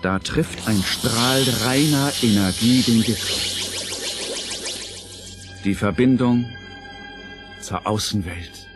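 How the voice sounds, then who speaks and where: A man narrating an audio play in a scripted, dramatic style, measured and suspenseful. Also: on the low side. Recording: narration over clear music.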